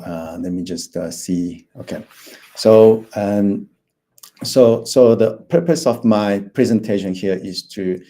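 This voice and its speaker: A man's voice: sits low, warm tone. A man in his fifties from Taiwan, a financial planner giving an online presentation, hesitant with many fillers and restarts. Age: 50s.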